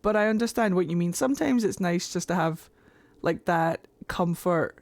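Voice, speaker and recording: A woman's voice: lowish voice, normal speed, darkish tone, dry recording. A young Scottish woman chatting casually and rambling while she streams a video game, laughing often. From Scotland. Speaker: livestreamer. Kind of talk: casual chat while gaming.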